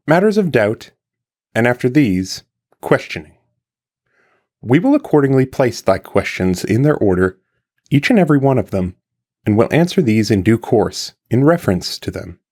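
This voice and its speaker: A man with a fairly low voice reads a scripted, old-fashioned philosophical text. His delivery is formal and carefully measured, with emphatic phrasing. The pitch rises and falls widely as he moves through long sentences. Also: darkish tone. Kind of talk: scripted audiobook-style reading of an archaic philosophical translation.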